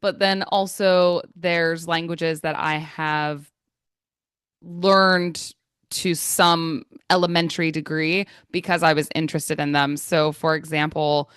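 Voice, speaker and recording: American woman, English trainer; low, slow, smooth voice, dry close sound, measured and reflective. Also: little pitch movement, fairly bright tone, no room sound. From United States. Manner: thoughtful, measured, with frequent fillers. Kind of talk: conversational interview answers. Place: Florida.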